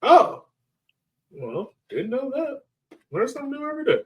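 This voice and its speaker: A man's voice: very high-pitched, wildly swooping pitch, a little slow, darkish tone. A man hosting a casual sports podcast, talking in a chatty, informal way.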